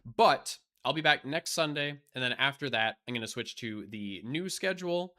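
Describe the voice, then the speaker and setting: Bright-voiced man streamer, voice wearing thin. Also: medium pitch, expressive, moderate pace. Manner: rambling, many fillers and restarts; blunt and profane. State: losing his voice. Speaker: live streamer. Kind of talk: casual live-stream chat.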